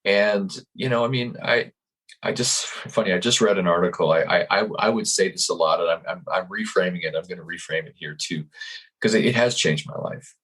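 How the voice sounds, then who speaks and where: A man in his fifties, a guest on a video-call interview, talking in a calm, searching way with many restarts and repeated words. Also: low voice, brisk, slightly rough, rough recording. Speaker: podcast guest. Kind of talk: reflective interview talk.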